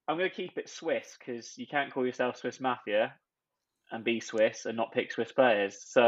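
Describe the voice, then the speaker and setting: A man guesting on a podcast, talking in excitable, self-mocking bursts. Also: medium pitch, muffled recording. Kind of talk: casual chat.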